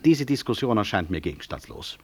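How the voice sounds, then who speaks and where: Proud, blunt director, animated low voice. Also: male voice, a little fast. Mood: dry, ironic. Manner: precise, curt, ironic. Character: proud, upright medical director, dry, blunt, unbending. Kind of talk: 1960s German radio drama acting.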